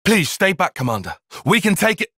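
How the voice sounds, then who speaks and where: A man playing a cerebral, earnest young soldier and strategist in a video game, speaking in precise, formal, analytical language and quick to exasperation. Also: high voice, big pitch swings, sharp tone, dry recording, clean studio sound, exaggerated voice. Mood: alarmed, urgent. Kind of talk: video game cutscene voice acting.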